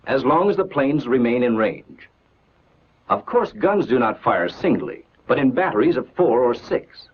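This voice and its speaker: A man narrating a scripted 1940s military training film in a measured, instructional, declarative manner on an old film soundtrack. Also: medium pitch, lo-fi sound, slight background noise.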